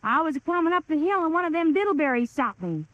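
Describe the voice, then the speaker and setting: A woman's voice: high-pitched, sing-song, quick pace, fairly bright tone, no echo. A woman playing a naive young hillbilly daughter with a rural Southern accent, earnest and guileless in a 1930s radio comedy. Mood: earnest, anxious. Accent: rural Southern US hillbilly, put on. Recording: muffled vintage 1930s radio broadcast.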